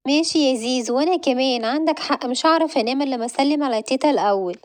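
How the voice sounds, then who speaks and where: A woman's voice: sits high, rapid-fire, lightly acted. A woman voicing a fearful, worried young girl who is the little sister. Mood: calm.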